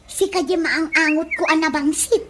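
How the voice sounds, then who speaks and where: An actress playing a nosy, blunt younger sister in a comedy radio drama, with an Ilocano accent. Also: female voice, very high-pitched, steady tone, moderate pace, fairly bright tone. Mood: teasing, blunt. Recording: noisy radio drama recording.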